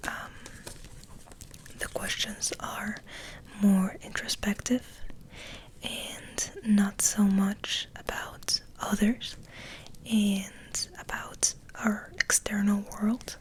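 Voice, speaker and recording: A woman hosting ASMR, rambling in a soft, breathy, hesitant whisper. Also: mid-range voice, poor audio. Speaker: ASMR video host.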